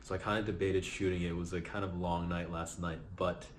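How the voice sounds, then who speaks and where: Low-voiced man, tired and a bit raspy. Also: brisk, slight background noise. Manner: relaxed, reflective, keeps adding "right?". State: low energy, slightly raspy after a long night. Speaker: vlog host. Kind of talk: casual motivational vlog talk.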